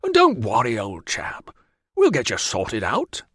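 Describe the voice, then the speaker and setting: A man voicing a cheery, fussy Roman doctor who talks in a brisk, reassuring way and calls people "old chap". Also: mid-range voice, huge pitch swings, fairly bright tone, slightly rough, no echo, studio quality, heavily acted. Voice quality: brisk, reassuring, says 'old chap'. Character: cheery middle-aged male Roman doctor, kindly, fussy.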